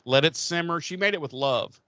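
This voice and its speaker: A man's voice: a little high, lively intonation, brisk, sharp tone, dry recording. Blunt man, close dry sound. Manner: blunt, emphatic, foul-mouthed. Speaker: podcast co-host. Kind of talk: casual opinionated commentary.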